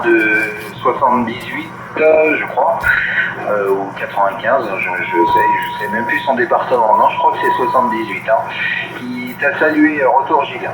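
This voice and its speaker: A man chatting casually over CB radio as an operator, hesitating and repeating himself. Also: mid-range voice. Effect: CB radio transmission. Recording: very noisy echoey CB radio signal.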